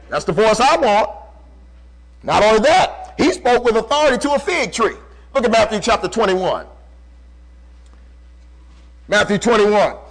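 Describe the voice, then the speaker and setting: High-voiced man preaching with fervor. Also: a bit bright, poor audio. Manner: emphatic, repeats phrases, rhetorical questions. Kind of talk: fervent call-and-response sermon.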